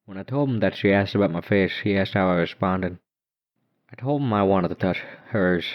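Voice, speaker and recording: A man with a very low voice tells a horror story in the first person, delivered as dramatic narration. The recording sounds dull, with the top end missing. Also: moderate pace.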